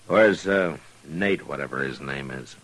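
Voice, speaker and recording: A man's voice: a bass voice, measured pace, a bit bright, breathy edge, heavily acted. A male actor playing a stern, weary American frontier marshal in a western radio drama, terse and commanding. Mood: calm, probing. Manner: terse, authoritative. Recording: hissy vintage radio recording.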